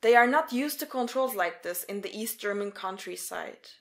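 A woman's voice: medium pitch, animated, normal speed. A woman reading scripted narration for a documentary story in the third person.

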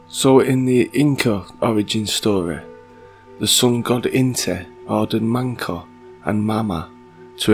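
Croaky guy with a sore throat, rambling voice-over, gravelly, quiet music under it. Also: on the low side. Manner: musing, rambling, reads passages aloud. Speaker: channel host. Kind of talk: conspiracy video voice-over.

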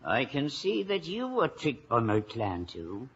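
A blustery old Irish sergeant in a vintage radio comedy, vain and excitable. His raspy, highish voice swings up and down, slightly muffled by the old broadcast. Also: measured pace, full character voice. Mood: scheming. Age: older. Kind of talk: 1950s radio comedy acting.

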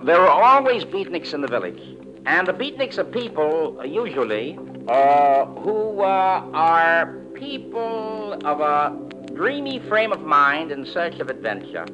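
A man, a painter, answering an interview in a halting manner. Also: a little high, big pitch swings. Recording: dull old 1960 radio recording, music.

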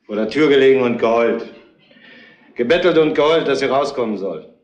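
An actor playing a testy, blunt witness in a retro crime radio play, with curt colloquial delivery. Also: male voice, medium pitch, expressive, fairly quick, muffled recording, lightly acted. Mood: emphatic. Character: testy, blunt male witness in a murder inquiry. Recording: roomy echo, faint noise.

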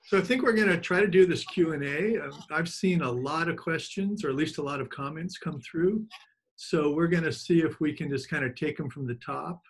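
A man's voice: a little high, a little fast, husky. A man hosting an online reading, casually running the question and answer session. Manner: casual, upbeat, loose. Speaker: event host running the Q and A. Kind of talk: casual moderating.

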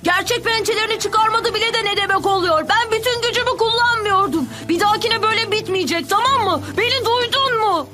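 A woman voicing a cocky, hot-tempered little boy in a cartoon dub, bratty and excitable. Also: very high-pitched, brisk, hardly any pauses, over music. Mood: indignant. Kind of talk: Turkish cartoon dub acting.

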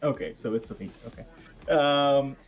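A man's voice: mid-range voice, normal speed, highs cut off, faint music behind. A man in his thirties from Israel with an Israeli accent, chatting as a guest over a headset on a video call, hesitant with many fillers and restarts. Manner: hesitant, lots of uhs and restarts. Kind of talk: casual chat. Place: home room. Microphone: headset, webcam video call.

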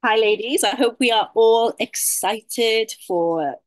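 A woman's voice: a little high, slow, no echo. A young British woman co-hosting an online webinar on a video call, eagerly reacting to viewers' messages. She is bubbly and casual. Her voice is dry and close to the mic. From United Kingdom. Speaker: webinar co-host running a poll.

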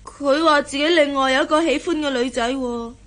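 A woman's voice: sits high, normal speed, a bit bright. An actress playing a shy, lovesick young daughter in a radio drama, hesitant and stammering as she hides her feelings. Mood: hesitant, upset. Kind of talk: Cantonese radio drama acting.

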